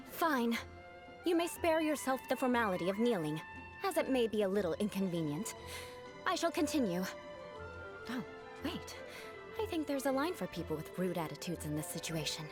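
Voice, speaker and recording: A woman's voice: on the high side, sing-song, moderate pace. Proud young female knight, aristocratic, formal, dry humor, game music faint. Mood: haughty. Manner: formal, stately, dryly self-mocking. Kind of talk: video game dialogue.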